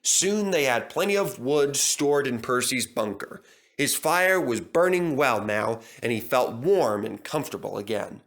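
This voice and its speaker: A man's voice: mid-range voice, lively intonation, unhurried, fairly bright tone. A man reading a scripted children's story as its narrator.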